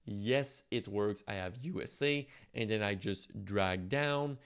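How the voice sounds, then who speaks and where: Low-voiced man teaching software. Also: takes it slowly, clear, resonant tone. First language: French. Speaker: software tutorial host. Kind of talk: conversational step-by-step screen tutorial.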